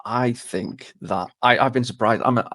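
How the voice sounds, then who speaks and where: A man's voice: mid-range voice, moderate pace, a bit bright, dry recording, studio quality. A man hosting a casual, chatty interview. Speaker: host, interviewer.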